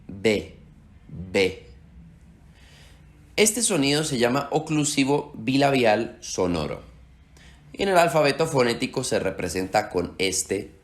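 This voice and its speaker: A man whose first language is Slovak leads an explanatory Spanish lesson, his pitch rising and falling in an animated, expressive way. He sits at a middling pitch for a man and slows right down whenever he spells out a sound. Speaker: Spanish teacher.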